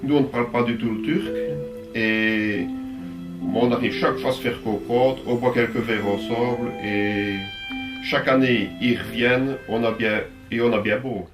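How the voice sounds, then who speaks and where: Man, easygoing interviewee; recorded far off in an echoey space, music clearly behind. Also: mid-range voice, fairly even intonation, moderate pace. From Belgium. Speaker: tourist office president. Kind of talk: documentary interview. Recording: roomy, distant mic with music.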